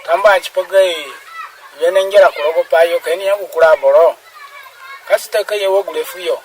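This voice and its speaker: A man's voice: high-pitched, fairly even intonation, fairly quick, recorded from a distance, some chatter behind, a little hiss or hum, low-quality recording. A man steadily reciting a long list of names.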